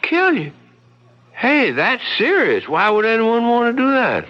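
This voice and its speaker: A stammering folksy country deputy. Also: male voice, very high voice, wildly swooping pitch, measured pace, heavily acted. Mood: earnest. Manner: stammering, rambling country talk. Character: bumbling folksy small-town male deputy. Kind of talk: 1970s radio drama acting. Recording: muffled old radio broadcast with hiss.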